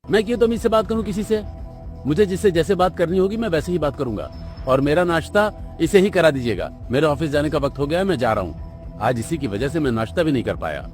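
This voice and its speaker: A man's voice: fairly high pitch, lively intonation, quick pace, darkish tone, faint music behind, natural voice. A man voicing a brusque young businessman brother in a cartoon, curt, irritable and dismissive. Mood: angry. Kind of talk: cartoon moral-story dialogue in Hindi.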